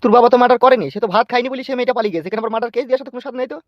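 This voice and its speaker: A man's voice: very high-pitched, steady tone, racing, no room sound, dull audio. A man voicing a poor, weary, indignant village father in a comic cartoon, exclaiming and lamenting. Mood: incredulous. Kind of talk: comic cartoon dialogue in rural dialect.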